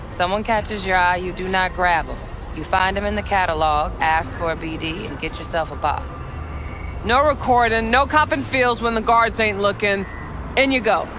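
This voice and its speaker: A man's voice: high-pitched, noisy background, natural voice. A man playing a brash, leering club door greeter in a video game, with a cocky, drawling delivery. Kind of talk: cinematic video game voice acting.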